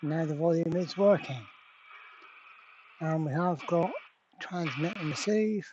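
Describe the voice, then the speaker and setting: A repair channel host giving a casual hands-on demonstration at a workbench, halting and thinking aloud while working. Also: medium pitch, a little mellow, lo-fi sound, slight background noise. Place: indoor workbench.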